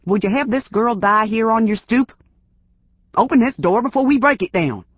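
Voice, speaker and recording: A reedy, high, loud sheriff, put on by a male audiobook reader, gruff and pushy as he barks orders through a door. His voice rides well above the reader's own and comes out forceful. The sound is dry and a little dull. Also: a little slow, no echo. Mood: angry. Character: nervous, blustering middle-aged male sheriff.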